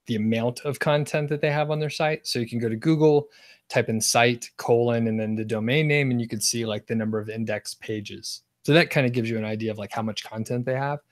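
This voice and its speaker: A man with a mid-pitched voice talks as a guest on a casual livestream. He explains things in a loose, easygoing way, full of small fillers. His voice sounds dry and close. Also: fairly even intonation, a little fast, no echo. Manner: explanatory, lots of "like" and "kind of". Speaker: guest expert.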